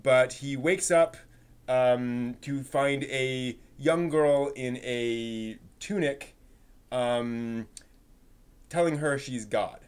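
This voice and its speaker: A man hosting a casual anime news chat with co-hosts, talking in a halting, agreeable way. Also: medium pitch, clear, resonant tone. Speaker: host presenting anime news.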